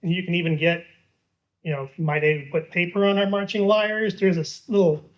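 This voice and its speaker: Rambling, somewhat high-voiced older man. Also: fairly even intonation, normal speed, muffled recording. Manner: casual, rambling, hesitant with many fillers. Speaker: constituent asking a question. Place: public library meeting room.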